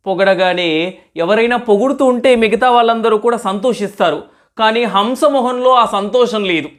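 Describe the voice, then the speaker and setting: A woman's voice: a little high, moderate pace. A woman telling a moral story as host, teacherly, asking questions and repeating answers as if to a young child.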